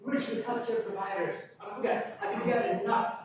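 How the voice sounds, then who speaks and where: Earnest man, high voice, very echoey hall. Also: steady tone, quick pace, slight background noise. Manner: earnest, repetitive, rhetorical questions. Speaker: pharmacist and teacher speaking at seminar. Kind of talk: motivational talk. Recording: very echoey hall, distant mic.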